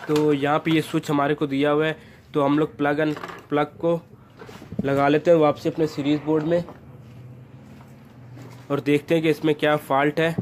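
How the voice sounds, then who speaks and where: A man's voice: on the high side, level tone, moderate pace, long pauses. A man narrating an informal hands-on repair tutorial, guiding viewers step by step in an instructional way. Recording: noticeable background noise.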